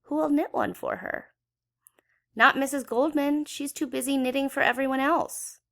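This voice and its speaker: A woman's voice: high-pitched, fairly even intonation, normal speed, gravelly, a bit bright, pitched above the performer's own voice. A woman voicing a caring, fretful young girl in a children's storybook, speaking earnestly and expressively. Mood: worried.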